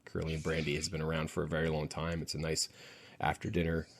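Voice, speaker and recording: A man with a very low voice hosts a relaxed group chat. He rambles and hesitates, feeling his way through each thought in casual, unpolished talk. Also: quick pace. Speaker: podcast host.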